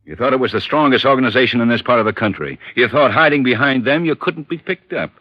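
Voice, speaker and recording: An actor playing a stern American police chief in an old radio crime drama, questioning in a hard, scornful, insistent way. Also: male voice, mid-range voice, animated, quick pace, no echo, close to an everyday voice. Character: stern, needling male police chief interrogator. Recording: muffled vintage radio recording.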